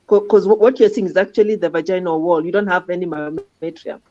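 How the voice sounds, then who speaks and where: A woman's voice: fairly low pitch, steady tone, quick pace, mellow. A woman giving informal teaching commentary on scan images as a radiology teacher, often adding questioning tags like "huh?" to her statements. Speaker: radiology teacher guiding a trainee. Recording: dull lo-fi audio.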